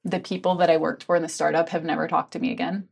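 A woman's voice: fairly low pitch, flat delivery, fast talker, vocal fry, a little mellow, breathy edge. A woman who grew up in Hong Kong, a guest on a podcast, speaks candidly and reflectively about her life in a casual chat full of "like" fillers. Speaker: guest, tea ceremony guide.

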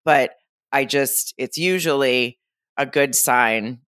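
A woman's voice: a bass voice, takes it slowly, a bit bright, no echo, studio quality. A woman co-hosting a podcast, chatting casually and rambling through stories with laughs between points. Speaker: podcast co-host. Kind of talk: casual recap chat.

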